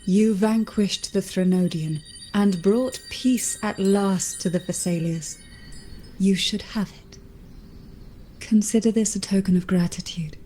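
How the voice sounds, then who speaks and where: A woman playing a poised, teasing noblewoman in an anime-style video game, speaking in a formal, measured, coolly teasing way. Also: medium pitch, dark tone, soft background music, natural voice. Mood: composed, gracious. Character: poised, teasing noblewoman heading a powerful family.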